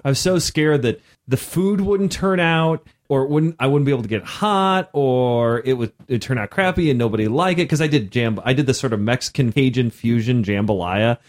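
A man co-hosting a casual podcast chat about running games, with a loud voice that projects and an energetic, laughing manner. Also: mid-range voice, normal speed, few pauses. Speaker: podcast co-host and game master.

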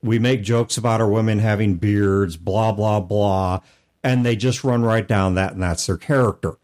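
A man's voice: on the low side, fairly even intonation, normal speed, a little mellow. A man co-hosting a gaming talk show, laying out ideas step by step in casual chat. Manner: methodical, lays out ideas with examples. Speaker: podcast co-host.

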